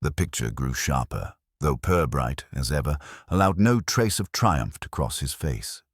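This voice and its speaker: A man reading a scripted mystery story as narrator, in a measured, deliberate literary manner. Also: very deep voice, animated, breathy edge, dry recording, studio quality.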